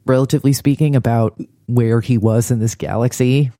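A man's voice: mid-range voice, steady tone, moderate pace, dark tone, no room sound, clean studio sound. A man co-hosting a casual recap discussion in a wry, critical way. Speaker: podcast co-host.

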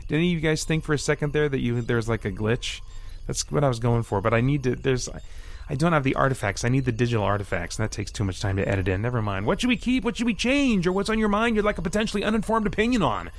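A man's voice: medium pitch, lively intonation, quick pace. Man hosting a casual podcast. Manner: rambling, self-interrupting, thinking aloud. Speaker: podcast host. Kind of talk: casual solo podcast talk with scripted bits and asides.

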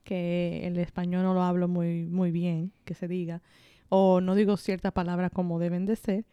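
A woman's voice: fairly low pitch, little pitch movement, normal speed, clear, resonant tone, no room sound, muffled recording. A woman with a Dominican Spanish accent, chatting informally as a podcast co-host. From Dominican heritage. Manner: informal, frequently laughing. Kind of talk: casual conversational chat.

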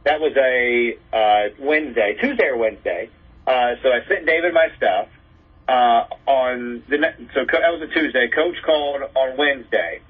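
A man's voice: medium pitch, normal speed, slightly rough. A man who works as a play-by-play announcer, chatting as an interview guest over a dull remote connection. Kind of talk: conversational storytelling in an interview.

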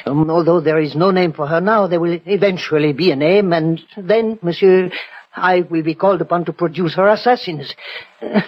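An actor playing an anxious, deferential French police prefect with a fretful, formal manner in an old radio drama. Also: male voice, high voice, moderate pace. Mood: worried, pleading. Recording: dull, noisy vintage radio recording.

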